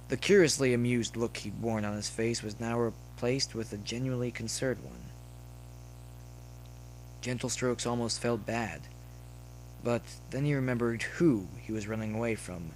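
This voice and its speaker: A man reading a comic story aloud as scripted, expressive narration. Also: mid-range voice, a little slow, pauses often, slight background noise, poor audio. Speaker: narrator reading fan fiction.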